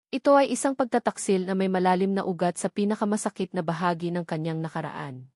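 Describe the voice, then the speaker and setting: Woman, story narrator, scripted dramatic delivery, medium pitch, dry close recording. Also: a little mellow, no room sound.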